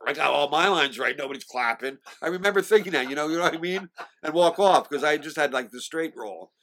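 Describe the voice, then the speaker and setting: A man's voice: a little high, quick pace, a bit bright. A man in his sixties, an interview guest who sings and acts, reminiscing with occasional stutters and restarts and acting out the voices of people he quotes. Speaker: singer and actor, interview guest.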